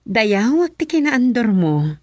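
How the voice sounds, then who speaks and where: A woman's voice: fairly high pitch, big pitch swings, takes it slowly, a little mellow, no echo, clean studio sound, exaggerated voice. A woman with a Filipino accent acting a cautioning madam of the household in a radio drama. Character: governor's wife, cautioning her driver, madam of household.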